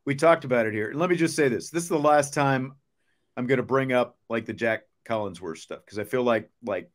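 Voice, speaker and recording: A man's voice: medium pitch, brisk. A man hosting a sports show in a conversational way, chatting casually and putting questions to his co-host.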